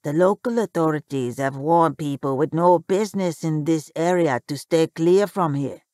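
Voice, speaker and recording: Woman doing a low-voiced humble cab driver. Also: moderate pace, warm tone, no echo, clean studio sound, lightly acted. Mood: grave warning. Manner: deferential, grave. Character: humble, nervous little male cab driver.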